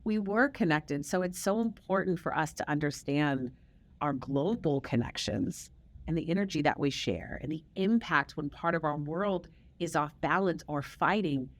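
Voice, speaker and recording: Low-voiced woman host, reflective storyteller. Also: normal speed. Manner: reflective storytelling, warm emphatic repetition. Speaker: podcast host. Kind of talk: casual conversational interview.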